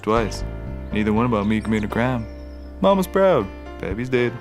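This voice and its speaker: An actor playing an earnest, simple and proud backwoods detective with a folksy manner, in a scripted comedy. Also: male voice, fairly low pitch, very animated, over music. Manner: folksy, often opens with a drawn-out "ooh".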